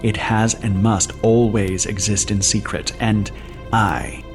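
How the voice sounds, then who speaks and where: A grave, persuasive envoy. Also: male voice, lowish voice, steady tone, slow pace. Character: persuasive male envoy of immortals, calm, commanding. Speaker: envoy of an immortal society. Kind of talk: audio drama acting. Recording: music bed under the voice.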